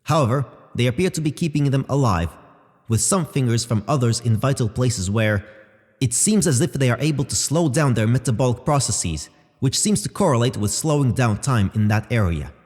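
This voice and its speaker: A calm male robot companion played by an actor explains things with formal precision. His mid-pitched voice moves with lively intonation through a long, echoing hall. He stays measured and analytical, as a sci-fi radio play machine. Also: natural voice. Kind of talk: scripted audio drama acting.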